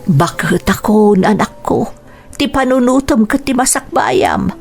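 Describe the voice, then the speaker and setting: A woman's voice: on the high side, sing-song, measured pace, vocal fry, darkish tone, heavily acted. A woman playing a loving, self-sacrificing mother of a grown son in a radio drama, tender and earnest. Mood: reassuring, devoted.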